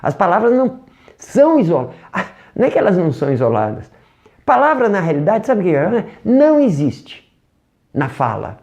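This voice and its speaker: Lively Brazilian English teacher, dull recording. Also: medium pitch, fairly quick. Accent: Brazilian Portuguese. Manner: animated, asks rhetorical questions, demonstrates sounds. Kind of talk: online language lesson.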